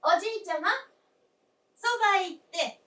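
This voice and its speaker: A woman's voice: very high voice, normal speed, a bit bright. A woman playing a polite, attentive young wife caring for her father-in-law in a staged radio drama. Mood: solicitous. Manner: very polite, genteel, solicitous. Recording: echoey stage, distant microphone.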